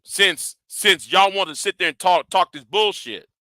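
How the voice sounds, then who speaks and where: A man's voice: sits high, lively intonation, a little slow, sharp tone, dry recording, studio quality. A man ranting in a commentary vlog. He talks confrontationally and swears a lot, repeats and restarts his words, and challenges his audience directly. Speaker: YouTube commentator.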